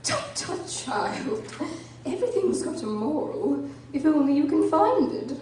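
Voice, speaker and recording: A woman's voice: high-pitched, big pitch swings, unhurried, dark tone. A woman playing a chummy, eccentric, moralizing Duchess in a radio drama, gushing and affected with chuckles. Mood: fond, preachy. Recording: echoey room, distant mic, clear background noise.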